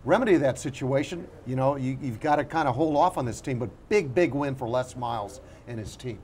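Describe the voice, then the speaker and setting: A man's voice: mid-range voice, brisk, a little mellow, slight background noise. A man co-hosting a sports show, talking conversationally and emphatically, repeating phrases to stress them. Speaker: sports show co-host and analyst. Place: on location at a restaurant.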